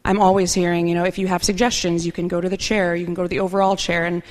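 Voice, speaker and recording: A woman speaking softly and unhurriedly at a recovery meeting, sharing her own experience with many fillers. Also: lowish voice, flat delivery, fast, long reverb tail. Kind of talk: personal share at a meeting.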